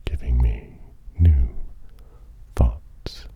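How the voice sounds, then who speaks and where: A man giving a hushed, mostly whispered ASMR monologue in short, musing phrases with long pauses. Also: extremely low pitch, expressive, husky. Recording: dull, muffled audio with faint noise.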